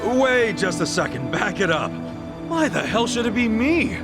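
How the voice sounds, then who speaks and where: An actor playing a brash, excitable ex-yakuza hero in a game cutscene, blunt, slangy and expressive. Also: male voice, high voice, moderate pace. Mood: incredulous. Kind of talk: animated game cutscene acting. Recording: music behind the voice.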